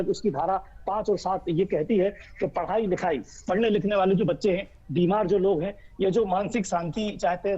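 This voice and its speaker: A man's voice: high-pitched, warm tone. A man reporting live as a news bureau chief, speaking off the cuff in an emphatic, wordy, repetitive way. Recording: dull, muffled live link audio.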